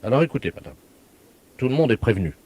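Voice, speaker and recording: Un homme jouant un maire officieux, pince-sans-rire. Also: male voice, medium pitch, quick pace, breathy edge, no room sound. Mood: solemn. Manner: bureaucratic, deadpan, insistent. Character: officious village mayor with solemn absurd warnings. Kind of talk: prank telephone call.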